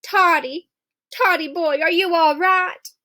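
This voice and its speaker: A woman's voice: very high voice, steady tone, slow, sharp tone, no room sound, clean studio sound, voice pushed up, heavily acted. A woman voicing a doting, haughty foster mother who fusses and scolds in a storybook. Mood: soft, worried. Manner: fussing, indignant, scolding.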